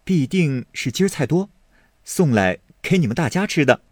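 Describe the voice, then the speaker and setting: A man's voice: a little high, expressive, moderate pace, dry recording, voice pushed up. A man voicing an eager, teasing young nobleman, in a dry close recording.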